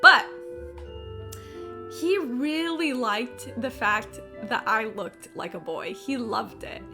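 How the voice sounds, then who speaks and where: A woman's voice: fairly high pitch, slow pace, over music. A woman streamer chatting casually while gaming, rambling and candid, slangy and playfully sarcastic.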